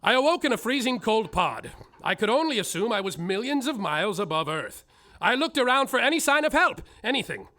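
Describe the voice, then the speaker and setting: An actor playing and narrating a bumbling small-town detective in a live comedy musical on stage. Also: male voice, high voice, big pitch swings, a little fast, bright, forceful tone, exaggerated voice. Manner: theatrical, over-the-top, comic narration.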